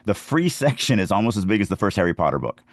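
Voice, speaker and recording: A man with a deep, low voice talks casually as a podcast host and writing teacher. He is informal and opinionated, explaining things through personal stories. The sound is dry and close, with little room in it. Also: sing-song, fast, dry recording. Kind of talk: casual conversational writing advice.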